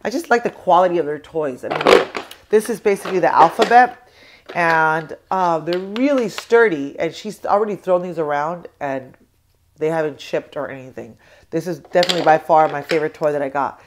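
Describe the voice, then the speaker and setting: This is a young American mother with a cold, her voice sitting low and a little congested. She chats to the camera in a loose, rambling way, full of ums and restarts as she shows off toys at home. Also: normal speed, background noise. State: congested with a cold, sore throat. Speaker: toy review vlogger. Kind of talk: casual chat to camera.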